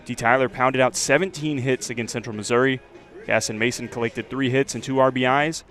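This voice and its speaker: A man's voice: mid-range voice, fairly even intonation, brisk, a bit bright. An American man delivering a scripted introduction as a college sports play-by-play broadcaster.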